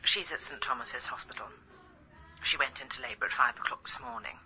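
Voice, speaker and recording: A woman's voice: rumbling low, brisk, sharp tone, faint music behind, exaggerated voice. A woman playing a prim, pious, disapproving older in-law in a radio comedy, heard through a telephone filter. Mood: prim disapproval. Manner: stern, primly scolding. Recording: muffled, band-limited telephone sound.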